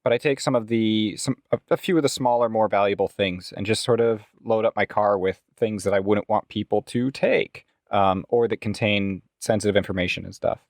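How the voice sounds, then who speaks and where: A man's voice: on the low side, fairly quick, hardly any pauses, dry recording, studio quality. A man playing a tabletop role-playing game, casually describing what his character does.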